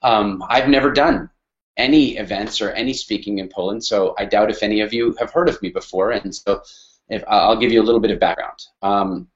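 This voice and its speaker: Canadian man, middle-aged, relaxed and halting webinar talk, muffled lo-fi call audio. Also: on the low side. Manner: hesitant, many fillers and restarts. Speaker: webinar speaker. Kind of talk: casual spoken talk on a webinar.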